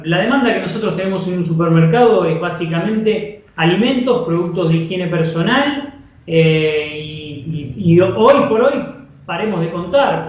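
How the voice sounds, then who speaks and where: A man's voice: high-pitched, darkish tone. An Argentine man giving economic commentary as an interview guest, explaining things and repeating words as he goes. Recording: echoey, distant, muffled remote link.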